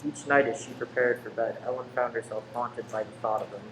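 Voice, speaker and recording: A man's voice: medium pitch, fairly even intonation, a little fast. Distant, echoey man narrating radio drama. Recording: distant mic, echoey room, clear noise.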